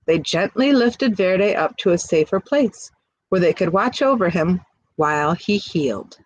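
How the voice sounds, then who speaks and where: Woman reading aloud with lively, swinging pitch. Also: no echo, low-quality recording. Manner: expressive, pausing to comment and ask questions. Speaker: storybook reader. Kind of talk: picture-book read-aloud with asides to young listeners.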